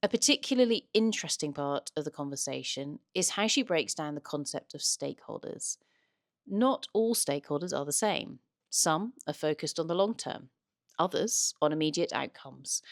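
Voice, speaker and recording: A woman's voice: low-pitched, no echo, clean studio sound. A woman hosting a podcast, reading a composed intro and then asking interview questions. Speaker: podcast host.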